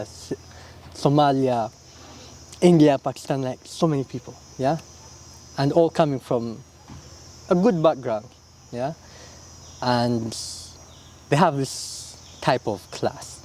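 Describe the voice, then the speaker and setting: Young man, easygoing and thoughtful, mellow tone, faint night insects behind. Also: medium pitch, a little hiss or hum. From Uganda. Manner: relaxed, reflective, many "yeah?" and "you know?" tags. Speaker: interview guest, illustrator. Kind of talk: casual interview answers.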